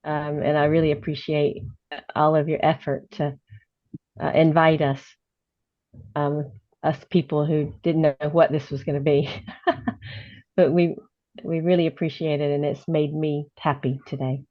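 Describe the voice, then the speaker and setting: Low-voiced woman on a dull call. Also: little pitch movement, measured pace, long pauses. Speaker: meeting participant, parishioner. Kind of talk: casual, appreciative chat. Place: online video call. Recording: dull video call audio.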